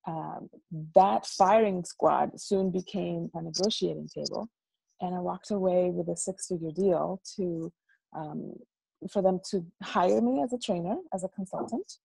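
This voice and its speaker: Gravelly-voiced woman from the Dominican Republic. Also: fairly low pitch, normal speed, no room sound, low-quality recording. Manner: lively, animated storyteller who repeats words as she talks. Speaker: guest, business coach. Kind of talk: casual interview storytelling. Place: dorm room.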